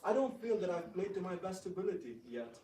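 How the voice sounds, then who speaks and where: A man acts out a dignified divine prince who is quick to take offence. His voice is high, his speech formal and firm. It is a dramatic reading in an echoey room, heard some way from the microphone. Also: very animated, warm tone, far from the mic, slight background noise. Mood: indignant.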